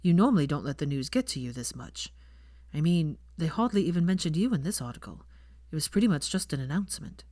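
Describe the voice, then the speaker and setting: A young wizard man acted by a woman narrator speaks in a low drawl. He is blunt and probing, concerned yet faintly peeved. The storybook dialogue sounds dry and close. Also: lively intonation, quick pace, warm tone, no echo.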